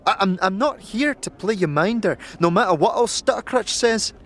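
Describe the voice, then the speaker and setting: Woman acting a swooping, sharp-tongued young street thief. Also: medium pitch, lively intonation, normal speed, slight background noise, close to an everyday voice. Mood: cautioning. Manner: brash, teasing, street slang. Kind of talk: fantasy game audio drama.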